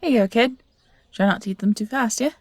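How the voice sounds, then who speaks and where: A woman's voice: on the high side, quick pace, dry recording, natural voice. A woman voicing a friendly food stall vendor, breezy and welcoming, in a scripted fantasy audio roleplay. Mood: cheerful, welcoming.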